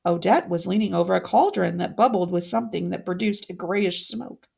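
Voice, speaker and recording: A woman reading a fairytale aloud as a storyteller, with deliberate, dramatic expression. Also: on the low side, creaky, darkish tone, breathy edge.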